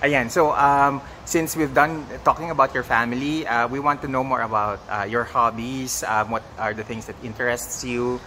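An off-camera male interviewer asks long, halting questions, stopping to restart and mixing in Tagalog words. His voice has a bright, crisp edge. Light room noise hums underneath. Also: medium pitch, steady tone.

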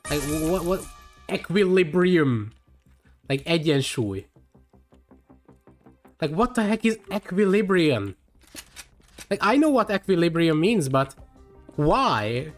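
Young hungarian guy streaming, kinda high voice, rambly and tired, faint game music behind. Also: sing-song, takes it slowly, pauses often. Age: young adult. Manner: rambling, chatty, many fillers and restarts. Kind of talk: live game stream chat.

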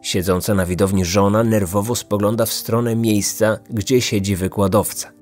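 A man's voice: on the low side, fairly even intonation, normal speed, darkish tone, soft background music. A man narrating a scripted true-crime story as a podcast host.

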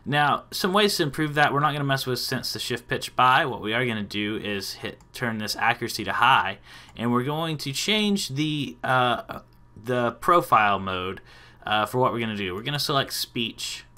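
A man in his thirties hosting a casual software tutorial. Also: mid-range voice, expressive, moderate pace. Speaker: tutorial host.

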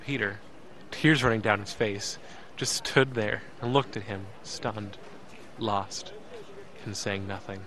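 An actor playing an earnest, emotional young man, narrating an audio drama in the first person with deliberate, dramatic pauses. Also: on the low side, a bit bright. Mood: tense, dramatic. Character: earnest, emotional young man telling his escape story.

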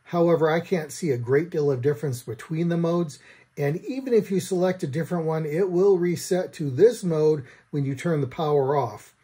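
A middle-aged man with an American accent reviews a product to camera in a dry, wry way. His voice sits a little high for a man, yet it is soft-edged and mellow, with a husky grain. He speaks from a room at home. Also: moderate pace. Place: small room at home, indoors.

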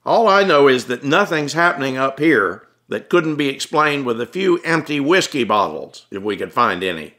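Audiobook character: blunt, authoritative small-town policeman, exasperated, raised higher voice, played by the male narrator. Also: very animated, normal speed, few pauses, bright, forceful tone.

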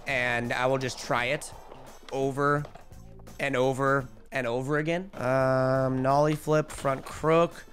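A young man in his twenties streaming a skateboarding game, chatty and energetic, calling out his tricks, a bit hoarse. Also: mid-range voice. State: losing his voice. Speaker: gaming streamer. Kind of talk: live gameplay commentary. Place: home streaming room. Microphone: headset.

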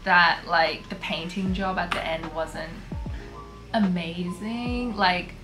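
Young woman, lowish voice, casual filler-heavy chat, home studio, background music. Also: takes it slowly. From Hong Kong. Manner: chatty, many fillers, relaxed and candid. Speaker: concept artist. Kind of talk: casual talk over artwork. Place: small bedroom studio, indoors. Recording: music playing underneath.

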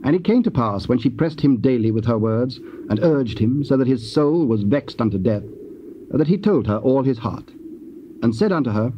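Male narrator, solemn Bible storytelling, measured, formal, muffled old tape, slight noise. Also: mid-range voice, animated. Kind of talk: solemn dramatized scripture narration. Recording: dull, faintly noisy cassette recording.

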